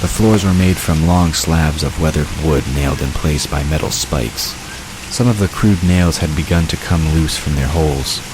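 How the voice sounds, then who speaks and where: A man's voice: a bass voice, normal speed. A man reading scripted story narration for an audio drama, with watery ambience behind him. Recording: constant rain-like hiss behind voice.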